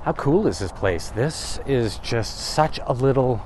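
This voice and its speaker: A man's voice: mid-range voice, expressive, unhurried, a little mellow, slight background noise, rough recording. A man in his thirties vlogging a walking tour outdoors, chatting casually with wry asides and asking himself questions. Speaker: travel vlogger. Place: outdoors in open desert. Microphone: camera or phone mic, handheld.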